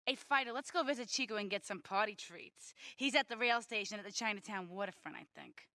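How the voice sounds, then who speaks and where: Pampered young gangster girlfriend, teasing, coquettish, bright forceful voice, dry recording, video game. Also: mid-range voice, fairly quick, no room sound. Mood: bored, teasing. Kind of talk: video game crime drama acting.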